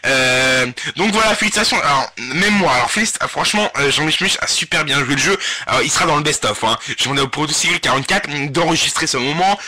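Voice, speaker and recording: A man with a high-pitched, piercingly bright voice hosts an informal webradio prank-call show. He banters live in crude slang, with an excitable delivery. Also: fast talker, few pauses, husky. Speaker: webradio host and prank caller. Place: webradio studio.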